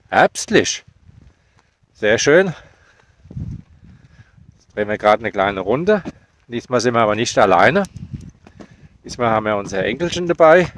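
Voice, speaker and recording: Man in his fifties, lowish voice, relaxed chatty vlogger, open-air walk, phone at arm's length. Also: a little hiss or hum. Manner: relaxed, chatty, lots of "ja" fillers. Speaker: caravan vlogger. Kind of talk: casual vlog narration while walking. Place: outdoors on a foggy field path.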